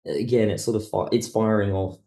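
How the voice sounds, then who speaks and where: A man's voice: lowish voice, a little fast, dark tone. A man talking casually as an interview guest, thinking aloud in broken phrases as he explains his creative work. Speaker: podcast guest, audio drama writer.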